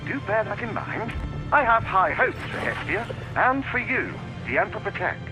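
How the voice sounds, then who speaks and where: A man playing a smug, sly spymaster in a video game, dry, condescending and quietly menacing. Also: sits high, sing-song, normal speed, over music, poor audio.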